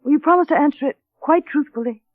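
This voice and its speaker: Tinny, high-voiced timid young wife acted by a woman. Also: fast, no echo, faint music behind, close to an everyday voice. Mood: anxious, earnest. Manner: hesitant, earnest, pleading. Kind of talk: 1930s radio drama acting. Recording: tinny, band-limited old radio recording.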